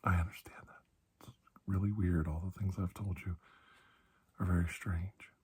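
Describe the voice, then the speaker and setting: A man speaking in a soft, breathy ASMR roleplay as a calm, kindly doctor, gentle and reassuring with unhurried pauses. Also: sits low. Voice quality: breathy, hushed. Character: calm, kindly male doctor soothing a frightened patient. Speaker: ASMR performer playing a doctor.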